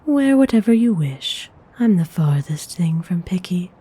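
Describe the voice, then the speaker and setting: A courteous vampire noblewoman, acted by a woman for an ASMR roleplay, doting yet in command. Her voice is very breathy and often drops to a whisper, and it sits on the low side. She speaks slowly and formally, like someone used to being obeyed. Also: big pitch swings, full character voice. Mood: hospitable, instructing. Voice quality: soft, breathy, mostly whispered. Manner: genteel, unhurried, formal wording.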